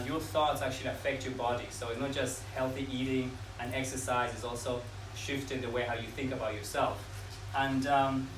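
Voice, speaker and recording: A man from Ecuador speaks earnestly to a live audience and lays out his points one by one. He is in his thirties, and the mic sits far from him. The room is echoey and there is noise around him. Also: mid-range voice. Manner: earnest, unhurried, lists ideas one by one. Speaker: speaker at a talk. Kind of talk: informal talk to a live audience.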